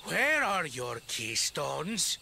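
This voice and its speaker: A man's voice: fairly high pitch, wildly swooping pitch, very slow, vocal fry, sharp tone, slight background noise, full character voice. An actor playing a domineering, scornful father in a video game, with archaic, theatrical speech that is grandiose and cruel. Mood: silky, commanding. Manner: archaic, theatrical speech swinging from silky to raging.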